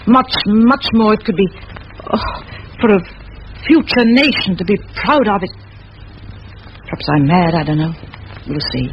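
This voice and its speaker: Woman acting determined spinster teacher, firm measured delivery, eloquent, vintage radio drama, dull hissy recording. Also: mid-range voice, natural voice. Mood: earnest, impassioned. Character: determined, commanding spinster schoolteacher, middle-aged. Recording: muffled old radio recording, faint hiss.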